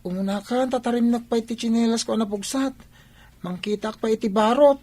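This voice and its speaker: An actor with a Filipino accent playing an earnest, hard-up schoolchild in a radio drama, soft-spoken and plaintive. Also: medium pitch, fairly quick, close to an everyday voice. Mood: casual, dutiful.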